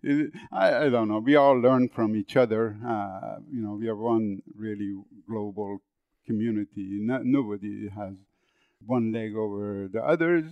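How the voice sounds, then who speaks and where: A man's voice: fairly low pitch, a little slow, dry recording, dull audio. Iranian-accented man in his seventies. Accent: Persian. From northern Iran. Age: 70s. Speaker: guest, agricultural engineer. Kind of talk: podcast interview.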